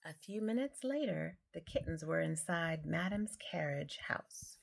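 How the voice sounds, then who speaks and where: A woman's voice: low-pitched, animated, a little slow, creaky. A woman reading a children's picture book aloud, stumbling now and then over words. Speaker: amateur storybook reader.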